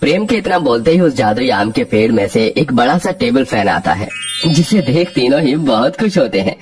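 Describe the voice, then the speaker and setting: A man's voice: mid-range voice, very animated, normal speed, over music. A man narrating a scripted children's story.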